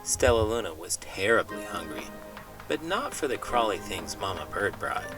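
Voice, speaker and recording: Man, storybook read-aloud, lowish voice, dramatic, teacherly, slightly far from the mic, prominent music. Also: normal speed. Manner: dramatic, animated. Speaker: elementary school teacher reading aloud. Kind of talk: expressive children's storybook narration.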